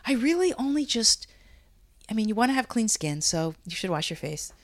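A woman in her fifties talks in a loose, chatty way, laughing and circling back as she speaks. She sounds relaxed and unscripted. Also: fairly high pitch, normal speed. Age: 50s. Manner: chatty, laughing, rambling with restarts. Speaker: beauty brand founder. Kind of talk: casual interview chat. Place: Brooklyn.

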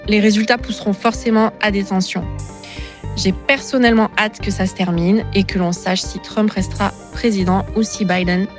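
A woman in her thirties from France, giving a clear, steady testimony as an interviewee. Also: mid-range voice, normal speed, faint music behind. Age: 30s.

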